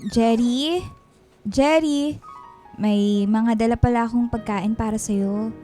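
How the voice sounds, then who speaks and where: A high-pitched Filipino wife and mother in a radio play. She is estranged and firm, yet sounds concerned. Music runs underneath. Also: takes it slowly, mellow. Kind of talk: Tagalog radio drama acting.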